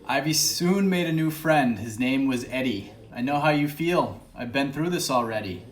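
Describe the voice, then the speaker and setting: A woman with an extremely deep voice helps out on a casual live stream. She speaks in brief, simple lines, and the room around her echoes. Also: expressive, normal speed. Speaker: off-camera helper who reads and handles dogs. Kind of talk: brief casual remarks, coaxing a dog.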